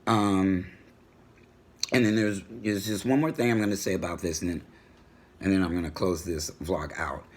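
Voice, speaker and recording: A middle-aged man with a booming voice, talking assertively in a personal home vlog. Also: moderate pace, pauses often. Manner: assertive, animated storytelling. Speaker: vlogger. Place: home room with a world map on the wall.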